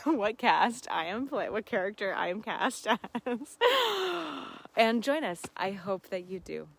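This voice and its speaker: A woman hosting a casual livestream, talking in an earnest, heartfelt and effusive way. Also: medium pitch. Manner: effusive, often laughing while talking. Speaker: host of a live Q&A.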